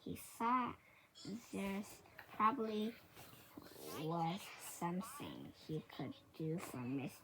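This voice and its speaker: A woman reading a children's book aloud in a halting, deliberate way. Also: lowish voice, big pitch swings, background noise. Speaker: storybook reader.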